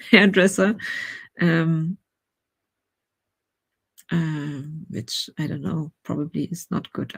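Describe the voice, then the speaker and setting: German-accented woman, low gravelly voice. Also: dry recording. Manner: chatty and rambling, laughs often, greets chat. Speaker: writing livestream host. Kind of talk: casual livestream chat.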